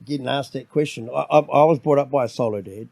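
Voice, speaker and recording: Gravelly middle-aged New Zealand man. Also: medium pitch, fast talker, no room sound, clean studio sound. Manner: rambling, repeats words when starting; loud, domineering voice. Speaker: podcast guest. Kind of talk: casual conversation.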